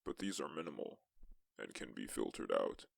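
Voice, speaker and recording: An extremely low voice, thick with vocal fry, a man playing a solitary space explorer. He narrates a sci-fi suspense tale in the first person, measured and deliberate. The sound is dry and close, with no room around it. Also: sing-song, no room sound, full character voice. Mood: measured, solemn. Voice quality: gravelly, creaky. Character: lone male astronaut explorer recounting a tense exoplanet mission.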